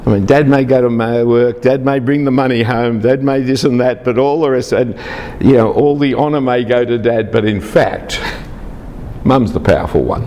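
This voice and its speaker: A man's voice: mid-range voice, normal speed, mellow, big-room sound, a little hiss or hum. A man from Australia, giving a lecture to a large group, chatty and teasing the audience.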